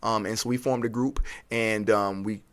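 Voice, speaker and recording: Male interview guest, middle-aged, lowish voice, conversational storyteller who leans on repetition for emphasis. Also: steady tone, moderate pace, a bit bright, clean tone. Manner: emphatic, repeats words for effect. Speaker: interview guest, actor and former teacher. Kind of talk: animated personal storytelling.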